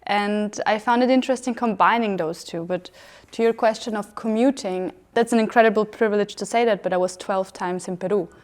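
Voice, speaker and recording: A young woman from Austria, a talk show guest who tells personal stories in an animated way. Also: medium pitch, fairly quick. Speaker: panel guest. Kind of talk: studio panel talk.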